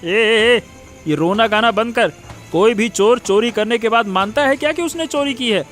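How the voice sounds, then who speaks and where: A man's voice: extremely high pitch, moderate pace, fairly bright tone, soft background music. A man voicing a hostile, bullying village accuser in a cartoon, harsh and scolding. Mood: scornful accusing. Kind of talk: Hindi moral-story cartoon voice acting.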